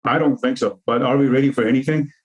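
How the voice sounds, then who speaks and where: A man's voice: mid-range voice, fairly even intonation, low-quality recording. Iran-born man explaining as interview guest. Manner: explanatory, measured, lists terms. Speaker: cybersecurity consultant guest. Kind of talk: interview answers over video call. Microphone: video call.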